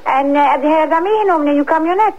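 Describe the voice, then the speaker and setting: Een vrouw met Vlaams accent belt naar de radio en stelt spontaan, wat omslachtig en aandringend vragen. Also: female voice, high-pitched, fast talker. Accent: Flemish. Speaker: listener calling in. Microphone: telephone. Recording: dull telephone line on radio.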